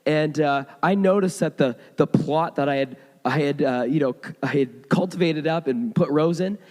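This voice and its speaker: A hoarse man preaching a sermon, telling stories in a conversational way with frequent restarts. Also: on the high side, moderate pace, darkish tone. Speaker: pastor. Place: church sanctuary. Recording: long hall reverb.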